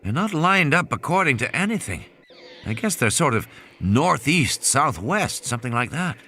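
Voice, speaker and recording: A man's voice: medium pitch, very animated, moderate pace, pushed and bright, pitched above the performer's own voice, full character voice. A man voicing an anxious, blunt and slightly fussy male companion in audiobook dialogue. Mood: puzzled.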